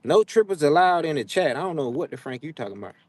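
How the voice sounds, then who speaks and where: A young adult man with hosts a casual livestream, talking trash to his viewers in an animated, drawling, slangy way. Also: a little high, fast talker, no echo. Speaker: livestream host. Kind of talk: casual live chat with viewers. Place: outdoors, frogs nearby.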